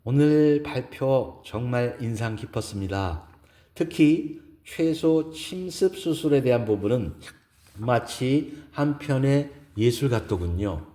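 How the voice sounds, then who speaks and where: A man voicing a poised, gracious businesswoman in a romance audio drama, speaking politely and softly with measured elegance. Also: medium pitch, expressive, pitched above the performer's own voice. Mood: admiring, poised. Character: poised, gracious woman executive in a senior romance.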